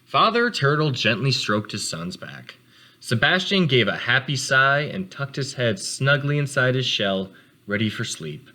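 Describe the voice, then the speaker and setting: Man, children's read-aloud host, very animated pitch, bright voice, storytelling with casual asides. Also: a little slow. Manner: expressive, addresses children directly.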